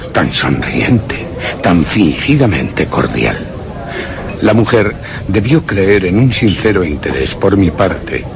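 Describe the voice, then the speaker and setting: An embittered, vengeful gentleman in a suspense radio drama. His low voice swings expressively as he broods with deliberate, theatrical contempt. A murmuring crowd sits behind him. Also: heavily acted. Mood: scornful. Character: embittered, vengeful cuckolded Frenchman, secretly plotting murder. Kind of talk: dramatic first-person radio play monologue.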